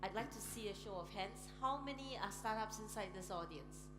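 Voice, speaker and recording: A woman's voice: medium pitch, lively intonation, brisk. Woman panel moderator in a big reverberant hall with music behind her, chatty, lots of ums. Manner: chatty, laughs often, many ums. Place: large conference hall on stage.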